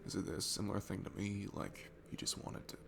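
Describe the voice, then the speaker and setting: Casting: male actor for a sweet, bashful young man, lowish and gravelly, hesitant and stammering, intimate audio roleplay with music underneath. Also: monotone, a little fast, noisy background. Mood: awkward, hesitant. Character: shy, sweet, nervous young man at a bar. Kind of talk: ASMR roleplay audio, intimate one-sided conversation. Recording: music behind the voice.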